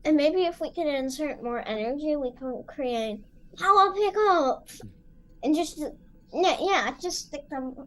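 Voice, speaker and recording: A female voice, pitched very high, joins in a tabletop game. She chats casually as a player. There is noticeable noise in the recording. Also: animated, unhurried, a bit bright. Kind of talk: casual chat.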